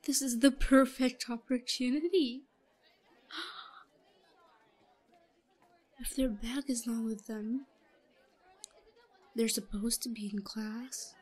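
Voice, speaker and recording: A woman playing a shy, obsessive, secretly scheming schoolgirl in a roleplay audio drama, muttering to herself in a breathy voice that often drops to a whisper. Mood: scheming, hushed. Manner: hushed muttering to herself, halting. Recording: low-quality recording with faint crowd murmur.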